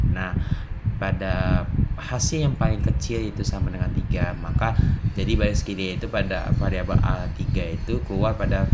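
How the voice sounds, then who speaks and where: A young man from Indonesia, a student presenting a tutorial, talking with a nasal voice from a cold, halting with many fillers. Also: low voice. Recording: loud background noise.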